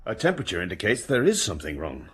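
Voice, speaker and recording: An actor playing a deferential, easily flustered English country doctor in a British radio drama, polite and hesitant. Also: male voice, low-pitched, huge pitch swings, fast, a bit bright, slightly rough, full character voice.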